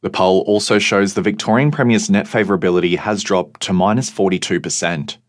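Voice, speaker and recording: Low, dry male radio newsreader. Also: no room sound. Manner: measured, even newsreading. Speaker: news and sport reader. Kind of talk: scripted radio news.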